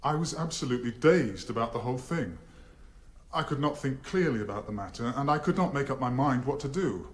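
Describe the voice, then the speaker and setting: A man's voice: medium pitch, lively intonation, a little fast, a little hiss or hum, lightly acted. A man acting a frightened defendant in a radio courtroom drama, answering in a hesitant, subdued and formal way. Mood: anxious, subdued. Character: dazed, frightened man on trial for murder.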